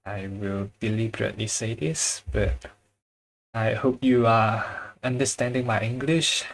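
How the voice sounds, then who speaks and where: A man's voice: on the low side, little pitch movement, a little slow, gravelly. A man with a Chinese accent, chatting casually with viewers while he draws on a livestream, often searching for words and chuckling. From China. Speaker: drawing livestreamer.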